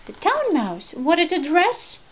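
Mother reading a picture book to a small child: female, high-pitched, slow, prompting word by word. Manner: expressive, instructive, prompting word by word. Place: at a wooden table indoors at home.

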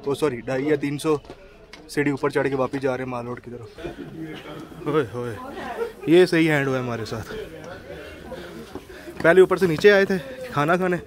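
Chatty man touring a busy street. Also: fairly high pitch. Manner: chatty, pointing things out while walking. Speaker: travel vlogger. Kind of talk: casual walking tour vlog. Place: busy hill-town street outdoors. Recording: crowd noise around the speaker. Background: crowd of passers-by.

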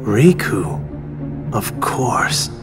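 A man's voice: lowish voice, big pitch swings, very slow, loud music behind the voice, heavily acted. A man voicing a shrewd, brooding member of a shadowy order in a video game, formal and disdainful. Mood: coolly disapproving. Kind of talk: video game cutscene acting.

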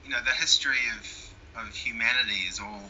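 A man's voice: medium pitch, a little fast, pushed and bright, slight background noise. A man with a New Zealand accent, a guest talking casually in an interview. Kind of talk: conversational interview. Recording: dull lo-fi sound.

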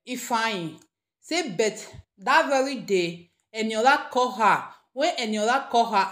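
A woman with a Nigerian accent giving informal gossip commentary, addressing her listeners directly and emphatically. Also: a little high. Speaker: gossip vlogger. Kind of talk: informal news commentary in Pidgin. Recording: roomy, echoing room sound.

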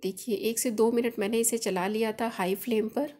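Woman host, casual home-cook voice-over, slightly high voice, patient and explanatory. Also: steady tone, normal speed, mellow. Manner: friendly instructive, chatty home-cook explaining. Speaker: cooking channel host. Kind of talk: recipe voice-over narration.

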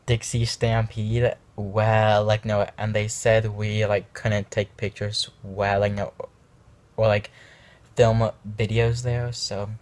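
A man's voice: on the low side, level tone, slow pace, a little mellow. A teenage or young adult man chatting casually to a phone held at arm's length, rambling hesitantly with lots of filler. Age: teens to young adult. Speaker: vlogger. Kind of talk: casual vlog chat to camera. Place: small rooms indoors at home.

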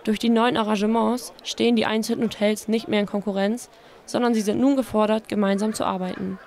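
A woman's voice: mid-range voice, level tone, a little fast, background noise. A woman reading scripted narration for a news report as its reporter.

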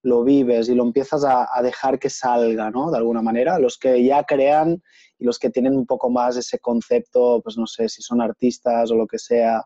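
Relaxed man on a muffled video call. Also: medium pitch, moderate pace. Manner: relaxed, thoughtful, many hesitations. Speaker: host and certified facilitator. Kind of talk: casual video call interview. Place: small room at home. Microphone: earphone headset on video call. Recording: dull lo-fi video call audio.

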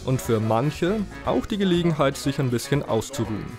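A man narrates a report from a script. His pitch sits in the middle for a male voice, and loud music plays along behind him. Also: sing-song, fairly quick.

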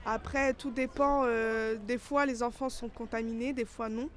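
A woman's voice: fairly high pitch, fairly even intonation, moderate pace. A young woman answering a vox pop in a building lobby, hesitant, with many fillers, into a handheld mic. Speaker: passer-by interviewed. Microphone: handheld mic held by interviewer.

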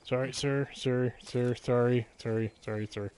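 A man's voice: mid-range voice, steady tone, normal speed, a little mellow, clean tone. A man doing casual live gameplay commentary, exclaiming, swearing and muttering jokey remarks to himself. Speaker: video game live streamer.

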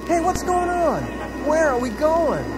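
A man's voice: very high-pitched, big pitch swings, a little slow, loud music behind the voice, full character voice, low-quality recording. An actor playing a restless, earnest young man frustrated with his life, in a children's storybook recording. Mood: startled. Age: young adult. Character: restless, frustrated young trailer-park dreamer. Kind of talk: children's read-along storybook acting.

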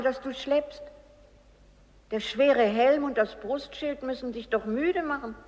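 An actress playing a kindly, humble old woman in a radio play, speaking in a gentle, patient, measured way. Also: sits high, highs cut off. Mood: kindly, coaxing. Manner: gentle, measured, plain-spoken. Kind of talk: German radio play acting. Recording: long reverberant hall.

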